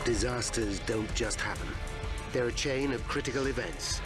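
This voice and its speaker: A man's voice: mid-range voice, normal speed. A British man reading dramatic scripted narration for a documentary. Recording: loud music and effects behind.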